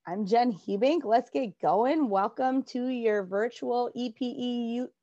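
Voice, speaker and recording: A woman's voice: on the high side, a little slow, mellow, lo-fi sound, a little hiss or hum. A woman in her thirties with a Minnesota accent, an adapted physical education teacher giving an upbeat, earnest, chatty virtual workshop talk. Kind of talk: virtual conference presentation.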